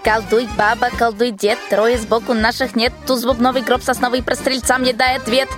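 A woman playing a crafty old folk witch who chants in rhyme in a slow, folksy manner for a radio play. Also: a little high, little pitch movement, over music, natural voice. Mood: conjuring, smug. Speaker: student radio-play actor.

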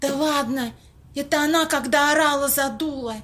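Actress playing impatient sharp-tongued girl, high voice, sarcastic and complaining, audio play. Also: normal speed. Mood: hushed, skeptical. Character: impatient, grudging, sharp-tongued young woman at a séance. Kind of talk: amateur audio play acting in dialogue.

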